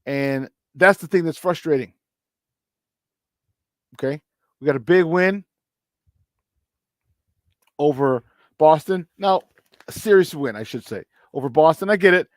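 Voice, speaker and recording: A man hosting a casual morning sports talk show, his voice a little hoarse, repeating words for emphasis and laughing often. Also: on the high side, unhurried, pauses often, no room sound, clean studio sound. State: slightly hoarse. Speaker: sports talk radio host.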